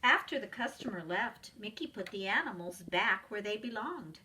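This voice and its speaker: A woman narrates a children's storybook with expressive, teacherly delivery. Her voice is bright and very lively in pitch, heard slightly away from the mic. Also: moderate pace, distant mic, a little hiss or hum, rough recording. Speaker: preschool teacher reading aloud.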